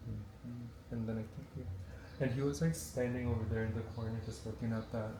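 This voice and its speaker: A man's voice: medium pitch, level tone, a little fast, reverberant, noisy background. A young man raised in Korea, chatting easily and laughing, mixing English and Korean. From raised in South Korea, born in the US. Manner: easygoing, laughing, switches between English and Korean. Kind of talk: casual chat.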